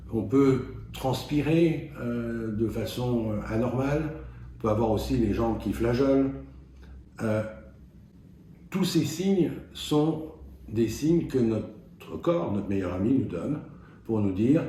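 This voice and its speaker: A man giving an explanatory self-help talk as a doctor, in a measured, didactic and halting way with pauses. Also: on the low side, warm tone. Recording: very echoey room, faint noise.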